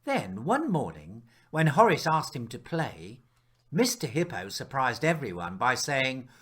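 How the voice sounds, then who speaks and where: Slow, expressive man reading a children's storybook. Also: mid-range voice, slightly rough. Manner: expressive storytelling with dramatic pauses and hushed moments. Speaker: author reading his picture book aloud.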